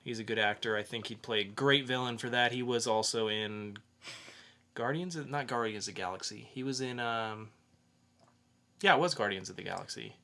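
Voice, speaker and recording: Bright-voiced man chatting through geek news. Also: medium pitch, normal speed, vocal fry. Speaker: podcast co-host reading entertainment news. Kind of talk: casual geek news chat.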